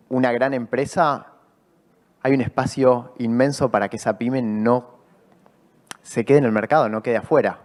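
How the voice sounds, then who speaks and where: A man on a conference panel, explaining his tech company's work with short bursts of faster speech. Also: medium pitch. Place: conference hall. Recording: long hall reverb.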